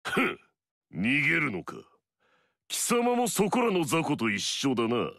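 A man's voice: fairly high pitch, wildly swooping pitch, unhurried, pushed and bright, no echo, clean studio sound, exaggerated voice. An actor playing an arrogant, fierce male warlord in a video game, swaggering and barking battle cries. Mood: scornful.

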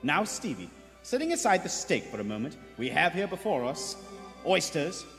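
Man as Ted, animated jaunty greengrocer. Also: a little high, moderate pace. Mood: playfully grand. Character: Ted, jaunty, charming young male greengrocer detective. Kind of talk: vintage radio play acting. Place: theater stage before a live audience. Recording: echoey theater stage, music behind.

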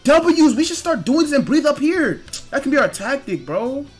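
A young man giving casual gameplay commentary with friends, excitable and foul-mouthed, slangy and often repeating himself. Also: very high-pitched, normal speed, faint music behind. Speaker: gaming YouTuber.

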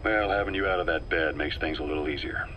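Deep, calculating intelligence operative. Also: male voice, sharp tone, a little hiss or hum. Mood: grave. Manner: measured, deliberate, controlled. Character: calm, calculating middle-aged male intelligence operative. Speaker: video game character. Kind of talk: dramatic game dialogue, briefing.

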